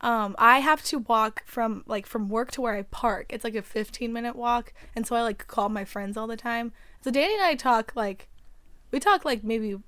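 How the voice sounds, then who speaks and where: A young woman with a raspy voice, chatting as a podcast co-host, rambling and giggling with lots of fillers. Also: a little high, normal speed, a bit bright, no room sound. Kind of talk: casual chat.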